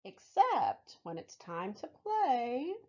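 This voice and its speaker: A woman reading a picture book aloud for young children, with expressive, deliberate phrasing, as a library storytime reader. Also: on the high side, muffled recording.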